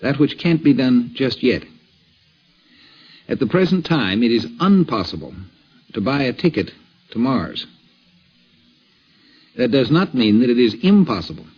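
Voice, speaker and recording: A man's voice: mid-range voice, sing-song, a little slow, pauses often, husky. A man giving emphatic explanatory commentary as an expert on vintage radio. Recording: dull vintage radio recording.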